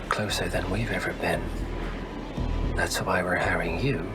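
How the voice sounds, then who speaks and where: Slow, low, menacing male power broker. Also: fairly even intonation, loud music behind the voice. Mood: quiet menace. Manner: slow, insinuating, condescending, breathy half-whispered phrases. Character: smooth, menacing male emissary of a shadowy powerful organisation. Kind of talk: video game cinematic dialogue.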